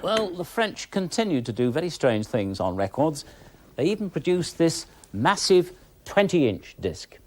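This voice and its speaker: Lively, enthusiastic man presenting. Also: on the high side, a little slow. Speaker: presenter, recorded music expert. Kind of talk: conversational expert commentary. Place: television studio.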